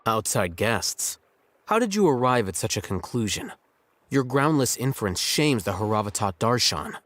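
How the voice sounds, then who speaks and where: A man voicing an aloof young scholar who speaks in a measured, dry, cutting way. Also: fairly low pitch, very animated, a bit bright, dry recording. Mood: cool. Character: aloof male scholar, cool and condescending. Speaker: scholar, scribe.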